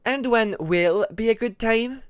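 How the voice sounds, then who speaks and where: A man's voice: very high-pitched, measured pace, clear, resonant tone, no room sound, studio quality, pitched above the performer's own voice. A man voicing an inquisitive young female dragon, pushy and questioning, in a fantasy audiobook. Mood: curious, pressing. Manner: questioning, insistent.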